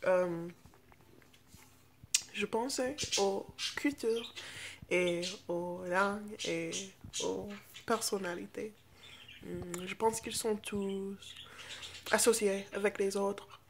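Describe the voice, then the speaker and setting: A young American woman, a native English speaker, chatting casually to camera and haltingly searching for words in a second language. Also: mid-range voice, noisy background. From United States. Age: teens to young adult. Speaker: vlogger. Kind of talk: casual unscripted chat to camera.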